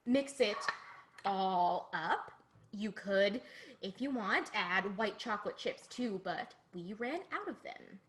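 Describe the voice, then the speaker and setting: A woman's voice: medium pitch, animated, slow, a bit bright, a little hiss or hum. A woman playing a naive, sheltered young girl in an online play, chatting earnestly as if hosting her own cooking video. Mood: eager, chatty. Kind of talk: dramatic monologue as online cooking show.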